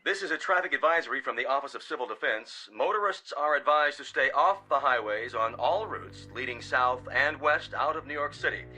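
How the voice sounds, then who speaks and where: A man's voice: mid-range voice, expressive, a little fast, few pauses, sharp tone, soft background music, rough recording. An actor playing a male radio announcer reading an official civil defense bulletin in a formal, urgent manner.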